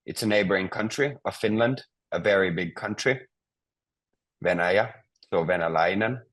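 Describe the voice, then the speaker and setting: A man's voice: low-pitched, moderate pace, fairly bright tone. A man teaching a beginner language class, patiently prompting students and explaining grammar step by step.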